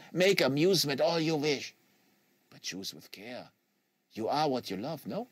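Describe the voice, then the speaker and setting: A man's voice: on the high side, unhurried, husky, no echo, clean studio sound. A man voicing an earnest, lecturing assassin for an audiobook, with solemn rhetorical delivery and foreign phrasing. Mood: insistent, earnest. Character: earnest, lecturing French-Canadian male assassin in a wheelchair.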